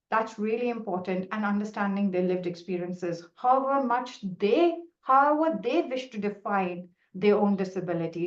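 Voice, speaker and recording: A middle-aged woman whose first language is Hindi, a researcher answering questions in a webinar in an earnest, explanatory way with frequent restarts. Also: medium pitch. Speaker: researcher presenting findings. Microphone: video call. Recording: roomy, muffled video call audio.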